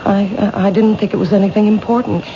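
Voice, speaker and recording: A woman's voice: mid-range voice, fairly even intonation, fast, lightly acted. An actress playing a timid, fearful young woman who owns a bookshop, speaking hesitantly and stammering in a 1970s radio drama. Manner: hesitant, soft-spoken, stammering. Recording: dull old radio broadcast, noisy.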